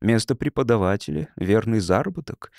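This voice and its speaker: A man reading a humorous science fiction audiobook in an expressive, dramatised way. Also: lowish voice, brisk, dry recording, studio quality. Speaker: audiobook narrator. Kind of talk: scripted narration of a humorous science fiction story.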